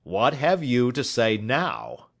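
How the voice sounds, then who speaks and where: A man's voice: mid-range voice, huge pitch swings, takes it slowly, gravelly, pitched above the performer's own voice, exaggerated voice. A man voicing a stubborn, hot-tempered market poultry salesman in an audiobook, with a blunt, combative, emphatic manner. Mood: smug, triumphant. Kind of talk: audiobook character voice.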